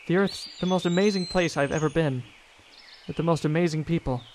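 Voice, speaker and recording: Earnest idealistic spaceman played by a man, audio drama; lightly high male voice, faint background noise. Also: brisk, a little mellow, natural voice. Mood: wondering. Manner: earnest, wondering.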